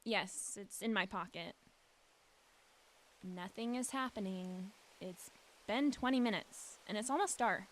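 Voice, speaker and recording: Bright-voiced, skeptical teenage girl played by a woman. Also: mid-range voice, a little slow, slight background noise, lightly acted, low-quality recording. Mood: reluctant, protective. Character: skeptical, protective teenage girl investigating disappearances. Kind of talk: audio drama acting.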